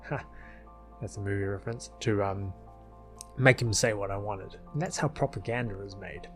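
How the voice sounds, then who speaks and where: Lowish-voiced man giving casual commentary. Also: normal speed, faint music behind. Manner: rambling, chuckling asides. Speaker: video creator, film editor.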